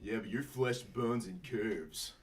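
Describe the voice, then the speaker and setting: A man's voice: on the low side, takes it slowly, far from the mic. A man voicing a brash, hot-headed male puppet with a blustery, confrontational manner at a sitcom table read. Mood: jealous, indignant.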